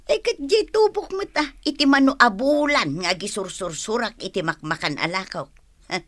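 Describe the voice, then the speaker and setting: A woman's voice: mid-range voice, expressive, normal speed, fairly bright tone. A woman acting a bossy, practical wife with a small food business in an Ilocano radio comedy drama. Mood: nagging.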